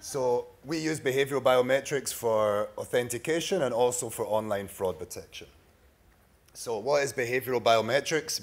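A man in his thirties with a Scottish accent, giving a prepared startup pitch at a conference. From Scotland. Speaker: startup pitch presenter, sales executive. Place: large bright conference room, at a podium. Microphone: podium microphone.

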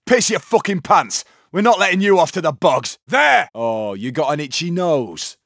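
An actor playing a brash, foul-mouthed English heist criminal in a video game, with a rough, cocky, aggressive delivery. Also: male voice, a little high, very animated, normal speed, fairly bright tone, dry recording, studio quality, heavily acted. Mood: urgent, aggressive. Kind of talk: shouted combat callouts and barks.

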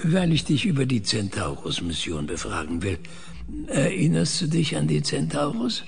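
A man playing a methodical, probing researcher in a science fiction audio drama, questioning in a measured, clinical way. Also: a little high, big pitch swings, gravelly, exaggerated voice.

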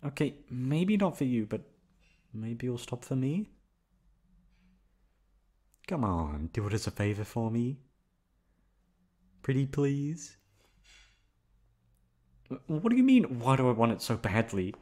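Man playing a coaxing boyfriend, very expressive. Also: medium pitch, slow, long pauses. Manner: coaxing, playful, pleading. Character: teasing, pleading, affectionate young boyfriend. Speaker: voice actor performing an audio roleplay. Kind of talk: ASMR boyfriend roleplay, intimate acting.

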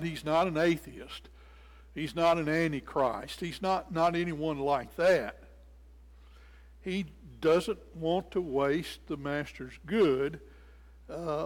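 Older male preacher, slightly high voice, explanatory sermon delivery, long church reverb. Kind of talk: sermon, expository Bible teaching. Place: indoors in a church sanctuary at a lectern.

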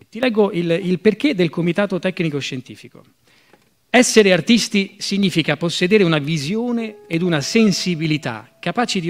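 A man presents awards from a theatre stage. His voice sits fairly high as he reads out citations, with music playing behind him. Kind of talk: reading jury citations on stage. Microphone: stand microphone. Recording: music under stage microphone speech.

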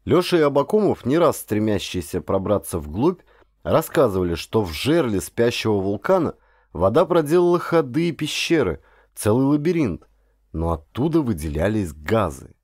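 Low, mellow male audiobook narrator. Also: very animated, normal speed, breathy edge, no room sound, clean studio sound. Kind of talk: dramatic scripted audiobook narration, then direct address to listeners.

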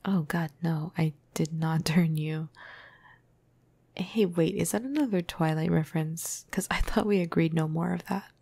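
A woman playing a flirty, caring vampire crush in an intimate ASMR roleplay, speaking softly and breathily into a close microphone with casual hesitations. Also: unhurried, no room sound, full character voice. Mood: teasing, flirty. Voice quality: breathy, soft, husky, low. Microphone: close microphone, dry.

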